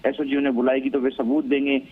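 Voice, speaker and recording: An Indian man reporting live by telephone as a news correspondent, analysing events in deliberate, halting phrases. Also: mid-range voice, flat delivery, slightly rough. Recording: narrow, tinny phone line.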